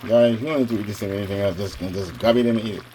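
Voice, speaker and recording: A man's voice: fairly low pitch, racing, dark tone, slight background noise. A man casually talking viewers through a home cooking vlog, chatty and addressing them directly. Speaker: cooking vlogger.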